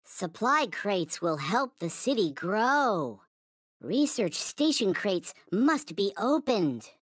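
High, childlike little toy alien. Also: male voice, very animated, takes it slowly, a bit bright, dry recording, studio quality, exaggerated voice. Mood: solemn, reverent. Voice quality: high-pitched, childlike cartoon voice. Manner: solemn, stilted, formal phrasing with awed delivery. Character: small, naive, reverent, ever-grateful toy space alien. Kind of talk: video game character voice lines.

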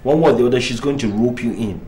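An actor playing a frustrated young husband and son who pleads and breaks into angry outbursts. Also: male voice, fairly low pitch, animated, normal speed, a little mellow, a little hiss or hum, natural voice. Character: frustrated young family man. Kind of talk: film drama acting.

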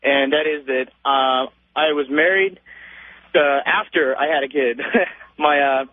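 A man's voice: a little high, steady tone, unhurried, no room sound. A man in his twenties calling a radio show by telephone, chatting in a casual, familiar, rambling way. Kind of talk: casual, personal phone chat. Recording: thin tinny phone line.

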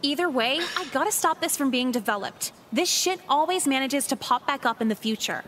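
A woman playing a jaded, sardonic, foul-mouthed high school girl in a visual novel, blunt and dismissive. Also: expressive, brisk, fairly bright tone, slight background noise. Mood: sardonic worry.

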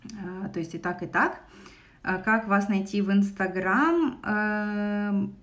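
A woman's voice: mid-range voice, takes it slowly, a bit bright. Young woman teaching English, Russian accent. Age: young adult. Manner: switches between English and Russian, many fillers. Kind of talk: online live-stream lesson. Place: small room indoors. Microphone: phone held close, front camera.

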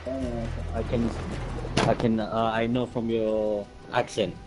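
A man from the UK with a British accent, chatting casually as an online teammate in a friendly, halting way. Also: mid-range voice, little pitch movement, over music. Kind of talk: casual gaming chat.

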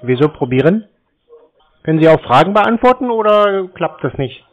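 A man's voice: fairly high pitch, sing-song, normal speed. A man talking casually on a phone call in a terse, needling manner, giving short replies and pointed questions. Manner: laconic, needling, repeats questions. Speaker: prankster taking scam calls. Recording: slightly dull, lo-fi call audio.

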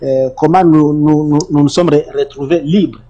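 A man from the Democratic Republic of the Congo, an interview guest, answering in an insistent way with emphatic rises and low trailing ends. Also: steady tone, moderate pace, warm tone, highs cut off. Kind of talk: defensive testimony in an interview.

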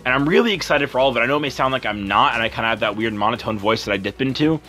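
A young man with a deep voice talking over gameplay in a rambling, earnest, apologetic way as a content creator. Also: animated, very fast, pushed and bright, faint music behind. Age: young adult. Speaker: YouTuber and streamer.

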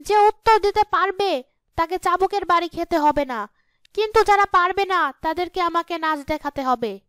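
A woman's voice: very high voice, moderate pace, a bit bright, no room sound. A woman voicing a bossy, threatening female ghost in a cartoon fairy tale, scolding and giving orders. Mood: menacing, scolding. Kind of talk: Bengali cartoon fairy-tale character acting.

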